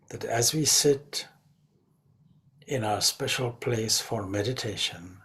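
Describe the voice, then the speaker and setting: A man leading a guided meditation as a spiritual teacher, speaking reverently in short, measured phrases. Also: mid-range voice, creaky, sharp tone.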